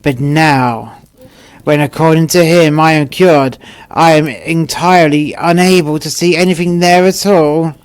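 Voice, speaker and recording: A man voicing a shrewd old woman from a storybook fable, arguing her case deliberately. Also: fairly high pitch, steady tone, smooth, lightly acted. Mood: shrewd defiance. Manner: argumentative, deliberate. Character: shrewd old woman defending herself in court.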